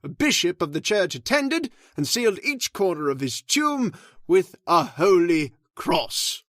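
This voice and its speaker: A man playing a grave, slow-spoken old caretaker. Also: high voice, sing-song, a bit bright, no room sound, studio quality, exaggerated voice. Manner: slow, ominous, measured delivery. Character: grave, solemn male storyteller of the house. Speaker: Mister Roberts, old manor caretaker telling the legend. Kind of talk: old-time radio drama acting.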